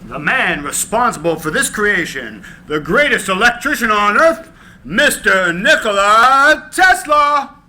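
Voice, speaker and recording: An actor playing a grandiose master of ceremonies announcing someone in a screenplay reading. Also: male voice, sits high, big pitch swings, a little slow, bright, forceful tone, heavily acted. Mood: proclaiming.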